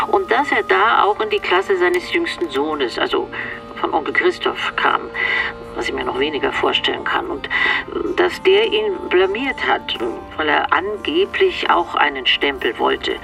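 A woman's voice: on the low side, gravelly, distant mic, poor audio. An actress playing a defensive, exasperated middle-aged mother in a naturalistic radio drama. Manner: exasperated, dismissive. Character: defensive, irritable middle-aged mother dismissing family secrets.

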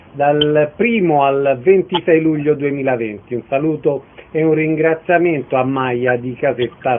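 Male radio interviewer, slightly high voice, thin telephone-band sound. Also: phone-quality sound.

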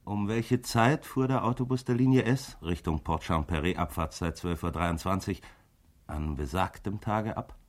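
An actor playing a formal, officious interrogator in a radio drama, questioning in a bureaucratic way. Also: male voice, low voice, normal speed, natural voice. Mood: formal, probing.

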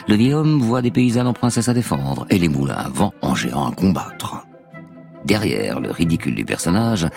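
A man's voice: rumbling low, gravelly. A man narrating a scripted radio documentary as its host, telling the story dramatically and posing rhetorical questions. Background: music under the voice.

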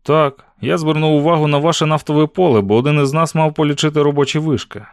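A man's voice: a little high, fairly even intonation, fast talker, smooth, no room sound, pitched above the performer's own voice, close to an everyday voice. An earnest young man on a mission in a storybook, voiced by a male narrator. He speaks politely, with boyish charm, a little above a middle register. The sound is dry and close.

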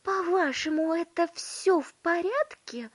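A woman voicing a frantic, anxious mother with a shrill, wailing delivery in an audiobook story. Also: measured pace. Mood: indignant.

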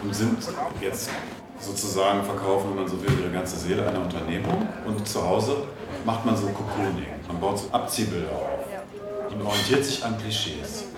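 A man's voice: sits low, moderate pace, distant mic, heavy background noise. A man in casual, reflective conversation, hesitantly searching for his words. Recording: very echoey room, loud music behind.

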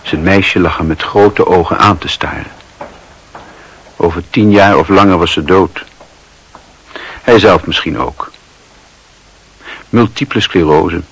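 A man narrating a literary radio play as a wry, observant storyteller with a husky voice. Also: low voice, fairly bright tone, exaggerated voice, rough recording.

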